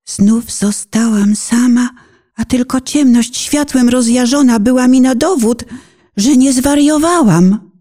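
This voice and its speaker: A woman's voice: a little high, slow pace, warm tone. A woman performing a penitent lost soul who prays fervently and solemnly in a poetic radio play. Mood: awed, shaken. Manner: fervent, pleading, solemn, sometimes dropping to whispers. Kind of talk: dramatic poetic recitation, prayer.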